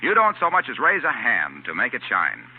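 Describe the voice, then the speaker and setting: Animated 1940s radio announcer man, tinny sound. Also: mid-range voice, a little fast, slight background noise. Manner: polished, emphatic salesmanship.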